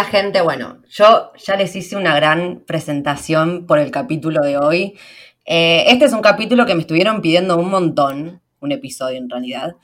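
A young woman with an Argentine Spanish accent, hosting a podcast in fast, slangy, chatty talk. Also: lowish voice. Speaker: podcast host. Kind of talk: casual podcast chat.

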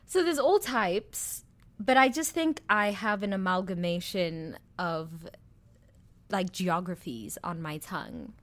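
A woman's voice: lowish voice, animated, takes it slowly. A woman in her twenties from South Africa with a South African accent, co-hosting a podcast in candid, crude, laugh-filled chat. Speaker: podcast co-host. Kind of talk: casual chat.

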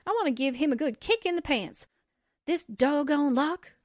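A woman's voice: sits high, expressive, moderate pace, no echo, studio quality. A woman playing a plucky but jittery newspaperwoman sidekick, slangy and wisecracking, in 1940s radio drama style. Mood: exasperated. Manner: wisecracking, blustering, folksy.

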